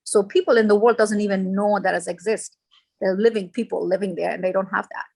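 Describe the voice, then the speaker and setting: A woman's voice: medium pitch, fairly even intonation, brisk, gravelly, a little mellow. A woman guest in a discussion, speaking emotionally in with broken grammar. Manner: emotional, non-native grammar.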